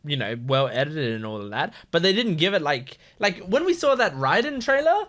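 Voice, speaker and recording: A man's voice: a little high, very animated, fairly quick, fairly bright tone. A man hosting a reaction video with casual, playful, rambling commentary.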